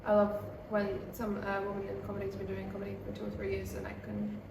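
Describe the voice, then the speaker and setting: A woman's voice: medium pitch, fast talker. A woman, a comedian chatting casually in an interview with lots of "um" and "like". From Australia. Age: 20s. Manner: relaxed, chatty, with many fillers like "um" and "like". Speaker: comedian and comedy night producer. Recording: echoey room, mic far off, noisy background.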